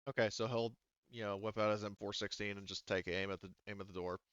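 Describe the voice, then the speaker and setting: A man narrating a tabletop roleplaying game as game master, conversational and halting as he improvises. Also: fairly low pitch, steady tone, a bit bright, clean tone, no room sound, muffled recording.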